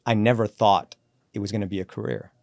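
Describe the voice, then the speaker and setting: Low-voiced man telling his career story. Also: fairly even intonation, creaky, darkish tone, no room sound, clean studio sound. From born in Sri Lanka, raised in Canada. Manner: reflective, brisk storyteller who acts out quoted dialogue. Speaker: guest, company CEO. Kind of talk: relaxed podcast interview, storytelling.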